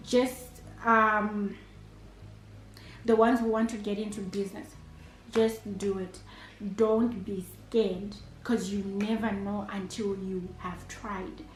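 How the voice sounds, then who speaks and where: A woman's voice: mid-range voice, fairly even intonation, takes it slowly. A Zimbabwean woman in her thirties, an entrepreneur and chef, answering interview questions in a hesitant way, with many fillers and restarts. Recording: echoey room.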